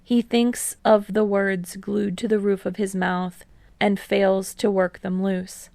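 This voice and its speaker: A woman narrates fiction in an audiobook style. Her delivery is slow and measured, with a creaky edge to the voice and a close, dry sound. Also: mid-range voice, flat delivery, a little mellow, no echo. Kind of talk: scripted story narration.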